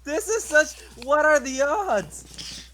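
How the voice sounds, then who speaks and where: A man's voice: very high voice, animated, takes it slowly, pushed and bright, low-quality recording. A man playing a tabletop role-playing game, bantering casually and swearing freely. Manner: profane, bantering.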